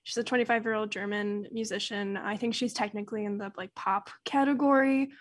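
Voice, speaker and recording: A woman's voice: mid-range voice, steady tone, brisk. A young woman co-hosting a podcast, talking casually with lots of likes and restarts. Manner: rambling, many likes and restarts. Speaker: podcast co-host.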